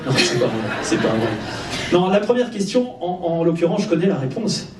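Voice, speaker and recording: A man's voice: high voice, big pitch swings, a little fast. A man hosting a live fan event, chatting casually with the audience in a playful, teasing, informal way. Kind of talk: casual live stage interview with audience banter. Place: cinema auditorium before an audience. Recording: echoey hall, distant mic, audience noise. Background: audience crowd.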